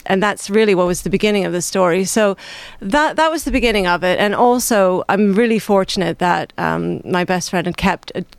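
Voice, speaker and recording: A Canadian-accented author answering interview questions in a measured, reflective way. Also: mid-range voice, vocal fry, clear, resonant tone, no echo. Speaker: author guest.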